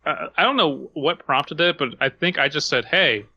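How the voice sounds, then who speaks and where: A man co-hosting a podcast in casual comedic banter, giving his opinions with some excited stammering. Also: fairly high pitch, moderate pace, lo-fi sound. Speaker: podcast co-host.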